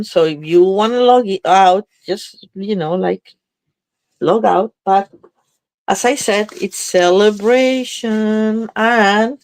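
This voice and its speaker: Casting note: congested woman crafter, chatty, dry close sound, mid pitch. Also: no echo. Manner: chatty, thinking aloud while working. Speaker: craft demonstrator. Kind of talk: live crafting demo chat.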